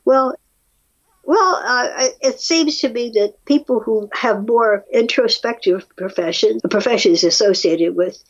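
A woman with a husky voice chatting casually as a podcast guest, musing aloud with firm opinions and rhetorical questions. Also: medium pitch, muffled recording. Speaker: podcast guest reacting to research studies. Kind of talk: casual conversational commentary.

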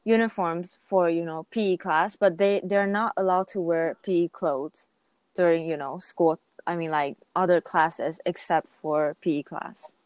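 A woman's voice: fairly low pitch, steady tone, measured pace, a little mellow, smooth, no echo. A young woman from Taiwan and Japan chatting casually, hesitant as she searches for her words. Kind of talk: casual conversational discussion.

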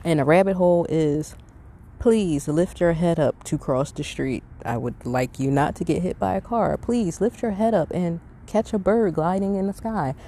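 Low, mellow-voiced woman podcaster, earnest. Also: normal speed. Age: middle-aged. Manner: earnest, conversational, emphatic. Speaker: podcast host. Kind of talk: casual reflective monologue.

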